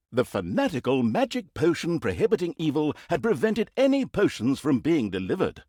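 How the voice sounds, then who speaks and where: Mocking video game narrator, theatrical, mock-pompous, highly animated swooping delivery, slightly high pitch, dry close sound. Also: male voice, breathy edge, no echo, full character voice. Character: mocking, theatrical male narrator of villainous deeds. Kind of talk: comic scripted narration.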